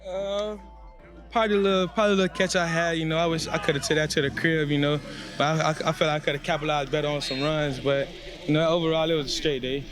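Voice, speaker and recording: A man's voice: a little high, level tone, fast, over music. A young man, a football player, answering casually with slang and frequent fillers. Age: young adult. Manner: laid-back, slangy, lots of you know. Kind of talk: casual interview.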